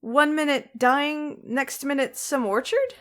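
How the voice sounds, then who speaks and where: Woman playing a high-pitched ditzy New Age psychic. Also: slow pace, fairly bright tone. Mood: chatty, bewildered. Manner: uptalk, sentences end like questions. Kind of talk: comic dub character acting.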